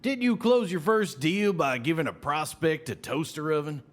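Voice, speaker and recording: A man's voice: on the high side, moderate pace, lightly acted. An actor playing a brash, sly, folksy salesman with an American Southern accent and needling, colourful idioms in an audio drama. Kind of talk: scripted audio drama acting.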